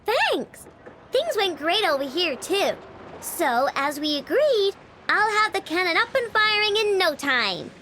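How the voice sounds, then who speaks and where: A woman's voice: extremely high pitch, sing-song, a little slow, noisy background, heavily acted. A woman voicing a confident, cheerful young girl inventor in a video game, perky and self-assured. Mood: upbeat, proud.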